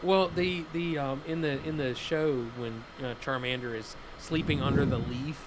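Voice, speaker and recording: A man hosting a livestream, chatting casually and excitedly, often restarting his sentences. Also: mid-range voice, moderate pace, very noisy. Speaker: livestream host. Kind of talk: casual gaming chat.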